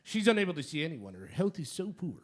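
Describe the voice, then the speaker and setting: An actor playing a falsely polite, slippery blackmailer in a radio drama, with fussy, hesitant phrasing. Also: male voice, a little high, very animated, fast talker. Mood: flustered politeness. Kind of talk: theatrical radio drama acting.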